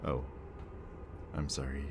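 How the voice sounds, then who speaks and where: Very deep slow-talking man. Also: completely flat delivery, noisy background. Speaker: villain speaker in audio roleplay. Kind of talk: softly spoken roleplay monologue to the listener.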